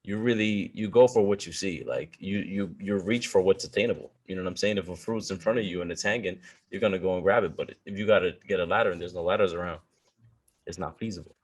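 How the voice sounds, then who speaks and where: A man's voice: sits low, quick pace, slightly rough. A man in his twenties from the Dominican Republic with a Bronx accent, talking as a guest in a casual podcast interview with lots of slang and filler phrases. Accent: New York, Bronx. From Dominican Republic, raised in the Bronx. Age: 20s. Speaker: guest, coffee entrepreneur.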